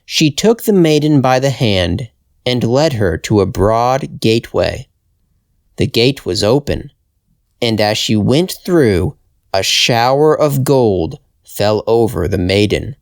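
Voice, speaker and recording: A man reading a fairytale as a storyteller, in careful, deliberate scripted narration for language learners. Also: medium pitch, vocal fry, no echo, clean studio sound. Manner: deliberate, expressive, carefully phrased.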